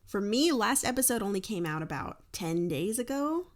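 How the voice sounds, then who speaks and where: Woman, podcast host, casual chat, animated intonation, medium pitch. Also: normal speed. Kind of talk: casual chatty episode introduction.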